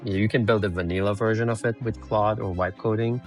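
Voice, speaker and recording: A man's voice: fairly low pitch, fairly even intonation, brisk, soft background music. A man from Iran, a startup founder telling his story plainly and bluntly as a remote podcast guest. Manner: blunt, plainspoken, filler-heavy. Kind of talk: casual interview storytelling. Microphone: remote call.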